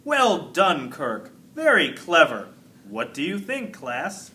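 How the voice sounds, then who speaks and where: Man voicing a brisk, animated woman schoolteacher. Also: a little high, heavily acted. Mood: encouraging. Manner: firm, instructing classroom address. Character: patient, brisk woman schoolteacher running her class.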